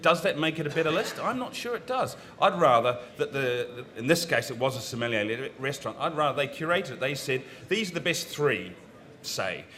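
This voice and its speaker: A man's voice: medium pitch, pushed and bright. A man with a New Zealand accent, speaking frankly as a wine consultant on a seminar panel, giving his opinions in a conversational way. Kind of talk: panel discussion. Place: seminar room.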